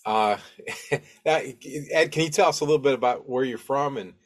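Older man, podcast host, casual interview chat, easygoing, hesitant phrasing, average pitch. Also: moderate pace.

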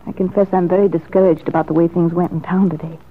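A woman acting an anxious but determined wife in a vintage radio soap. Her delivery is earnest and dramatic, with a slightly husky voice, and the old recording is thin and muffled. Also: fairly low pitch, flat delivery, fast talker, natural voice. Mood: discouraged, emphatic. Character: determined, anxious married woman longing for a baby.

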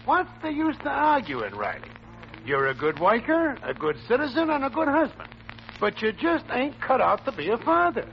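A man's voice: extremely high pitch, huge pitch swings, moderate pace, gravelly, full character voice. An actor playing a boastful, needling factory worker and father in a 1940s radio comedy. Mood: mocking, needling. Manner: mocking, boastful, argumentative. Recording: muffled, hissy vintage radio recording.

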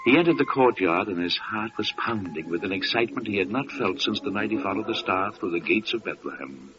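Low-voiced solemn male radio narrator. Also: animated, brisk. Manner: solemn storytelling. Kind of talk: classic radio drama scripted narration. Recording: dull, noisy old radio recording.

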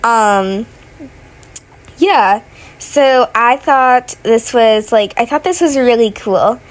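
A woman's voice: fairly high pitch, takes it slowly, rough recording. A young woman who is sick narrates gameplay from her bed at home in a casual, rambling way full of fillers. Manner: rambling, many fillers and restarts. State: sick, voice sounding off. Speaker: gaming vlogger.

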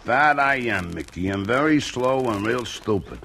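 An actor playing a slow, blunt, menacing young washed-up boxer in a 1950s radio crime drama. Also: male voice, medium pitch, expressive, creaky, bright, forceful tone. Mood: sullen. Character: dim, brutish young male washed-up boxer turned drifter. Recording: noisy old radio recording with clicks.

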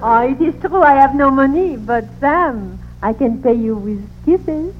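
A gushing damsel-in-distress countess with a French accent. Her voice is high and flirtatious. It is a 1940s radio comedy, thin and narrow with faint noise. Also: lively intonation, a little slow. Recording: tinny old radio recording, faint noise.

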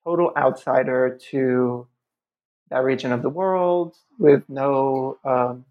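A history professor from upstate New York, talking in a relaxed podcast interview, thoughtful and full of ums and restarts. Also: male voice, mid-range voice, takes it slowly. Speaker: guest author. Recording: muffled lo-fi audio.

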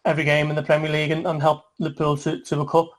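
A man's voice: a little high, monotone, rapid-fire. A man interviewing a former footballer, asking long questions full of restarts. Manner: rambling questions with many restarts.

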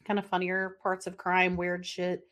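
A woman's voice: on the low side, monotone, a little slow, a bit bright. Chatty middle-aged woman telling a case. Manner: chatty, laughing asides, frequent fillers. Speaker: podcast co-host. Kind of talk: casual chat and true crime case telling.

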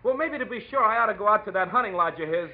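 A man's voice: high voice, expressive, rapid-fire. An actor playing an earnest, dithering, rule-bound male telegram messenger in a 1940s radio drama, hesitant and folksy. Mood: doubtful, earnest. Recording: muffled old radio recording.

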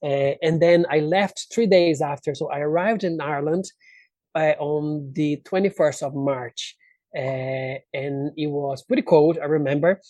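A man's voice: fairly high pitch, steady tone, measured pace, a little mellow, no room sound. A man from Brazil with a Brazilian accent and native Portuguese, telling personal stories casually as an interview guest and acting out what people said.

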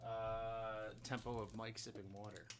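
A man's voice: fairly low pitch, little pitch movement, measured pace, no room sound. A man co-hosting a podcast in casual, easygoing chat with a joking manner. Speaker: podcast co-host and guitarist.

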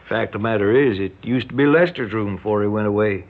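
A man's voice: lowish voice, fairly quick, natural voice. An actor playing a menacing, folksy rural American father turned kidnapper in a radio drama, drawling with mock friendliness. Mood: sly hospitality. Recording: dull lo-fi radio recording.

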